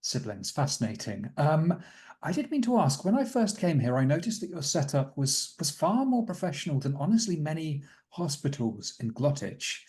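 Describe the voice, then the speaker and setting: A man's voice: on the high side, very animated, normal speed, a little mellow. A man playing a polite, curious and slyly evasive doctor in a tabletop game, with a courteous, genteel manner. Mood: polite curiosity.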